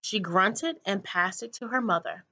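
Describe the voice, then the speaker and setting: A woman narrating a children's book aloud in an expressive read-aloud style, like a teacher to a class. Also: medium pitch, measured pace.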